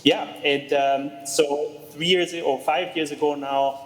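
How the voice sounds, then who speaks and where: A man's voice: a little high, fairly even intonation, takes it slowly, long reverb tail, slight background noise, rough recording. A man from Austria, a startup co-founder, answering interview questions over a video call, with frequent fillers and restarts. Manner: hesitant, many fillers and restarts. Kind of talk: conversational remote interview.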